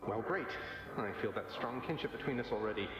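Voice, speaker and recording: A man's voice: mid-range voice, animated, a little fast, over music, natural voice. Man acting wry young prince, heavily echoing. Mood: wry curiosity. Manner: wry, casual, sardonic. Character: wry, cocky young underworld prince, teasing brother. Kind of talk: video game dialogue acting. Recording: heavily echoing dreamlike reverb.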